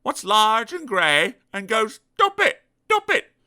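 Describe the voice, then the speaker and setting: A muddled, gentle little fellow pipes up in a raised, very bright voice that leaps about with lively pitch, stumbling over little fillers, as a male storyteller puts him on. He sounds eager and a little sly, a character from a children's storybook. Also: slow, smooth, heavily acted. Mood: cheeky. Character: muddled gentle man, Mister Dizzy, growing sly.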